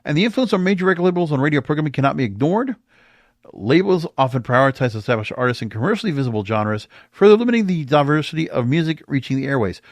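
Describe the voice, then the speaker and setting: A man's voice: a little high, big pitch swings, fast, dry recording. A man hosting a podcast, giving opinionated music industry commentary in a conversational, emphatic way, sometimes reading aloud. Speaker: podcast host.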